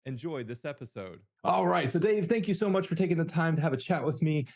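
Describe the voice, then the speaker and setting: A male podcast host with a dark, mellow tone, moving from a read introduction to friendly, encouraging questions and brief affirmations. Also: a little high, expressive, brisk.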